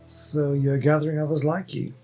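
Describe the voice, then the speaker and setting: A man casually reacting to a game story, with halting, off-the-cuff remarks. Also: a little high, little pitch movement, warm tone, faint music behind. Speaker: gameplay commentator.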